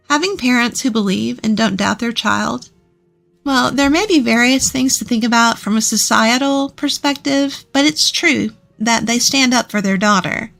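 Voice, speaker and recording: Woman, story narrator, scripted first-person reading, highish voice, some creak, faint music behind. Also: a bit bright.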